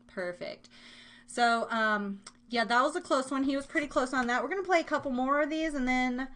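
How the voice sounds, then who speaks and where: A woman's voice: fairly high pitch, bright, forceful tone. A woman with an American accent hosting a live trivia game in a casual, playful, chatty way. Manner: playful, chatty, giggly asides to listeners. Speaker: live show host running a trivia game. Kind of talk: casual live-stream chat.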